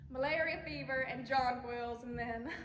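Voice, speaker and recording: A woman's voice: high voice, steady tone, a bit bright, a little hiss or hum. A woman performing a nostalgic, girlish Southern belle mother in an effusive, theatrical stage monologue. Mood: wistful. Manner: effusive, dramatic, drawn-out words. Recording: echoey hall, long reverb.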